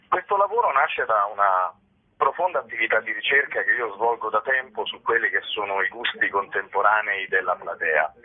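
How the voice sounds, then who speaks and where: A man's voice: fairly low pitch, husky. A man giving an explanatory radio interview as a guest, with literary, rhetorical phrasing. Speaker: playwright and director, interview guest. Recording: tinny, narrow telephone line.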